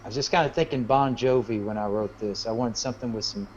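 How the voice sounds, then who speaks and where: A man's voice: medium pitch, quick pace, a little mellow, dull audio, slight background noise. A man, a singer-songwriter guest, chatting informally about songwriting and searching for his words. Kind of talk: casual chat about songwriting. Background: acoustic guitar.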